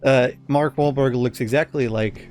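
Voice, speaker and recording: A man's voice: mid-range voice, steady tone, normal speed, darkish tone, soft background music. A man co-hosting a casual podcast, giving blunt opinions with some swearing and often searching for words. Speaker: podcast co-host.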